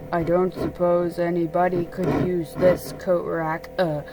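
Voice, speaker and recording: A woman acts out a glum donkey from a children's storybook. The voice drops low and drags along slowly, hesitant and self-deprecating, with little energy. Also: little pitch movement, heavy background noise.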